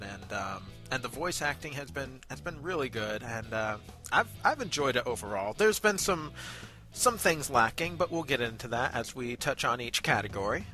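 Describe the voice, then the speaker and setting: A man with a very bright, forward voice at a middling pitch, talking through a review as co-host. He is measured and polite, pausing often with hesitations, over background music. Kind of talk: conversational review commentary.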